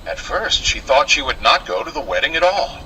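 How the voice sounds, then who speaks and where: A man's voice: a little high, sing-song, brisk, pushed and bright, slight background noise, rough recording. A man narrating a scripted fairy tale in a dramatic, old-fashioned storytelling manner.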